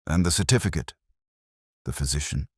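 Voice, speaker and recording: A man playing a cool, precise Victorian gentleman detective in an audiobook, probing and solemn. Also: rumbling low, moderate pace, breathy edge, dry recording, studio quality.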